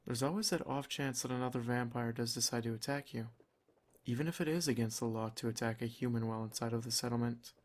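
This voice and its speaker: A man voicing a protective, possessive vampire lord in an ASMR roleplay, speaking in a measured, reassuring, gently commanding way. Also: medium pitch, fairly even intonation, close to an everyday voice. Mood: calm, possessive. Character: possessive, protective male vampire lord, soft-spoken and teasing. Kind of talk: ASMR roleplay, scripted intimate monologue.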